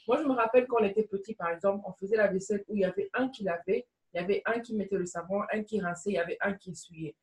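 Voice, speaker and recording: A woman's voice: mid-range voice, racing, hardly any pauses, darkish tone, recorded from a distance, low-quality recording. A woman from Congo talks earnestly in a conversational discussion. She repeats phrases to drive her points home. Her voice sits a little back from the mic. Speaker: entrepreneur guest.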